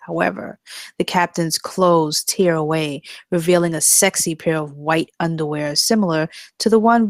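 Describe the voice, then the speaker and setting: Female narrator, low-pitched, dry close sound, reading stage directions for a comedy script. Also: no room sound. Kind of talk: scripted narration at a live comedy table read.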